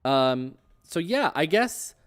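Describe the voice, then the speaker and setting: A man's voice: on the high side, sing-song, takes it slowly. A man hosting a casual interview, chatting in an informal way. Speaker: show host interviewing a game designer.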